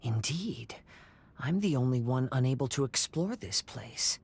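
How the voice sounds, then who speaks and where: A man playing a calm, wistful young noble wanderer in a game cutscene, speaking in a measured, reflective way. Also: mid-range voice, slight background noise. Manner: measured, reflective, gentle phrasing. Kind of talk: scripted game cutscene dialogue.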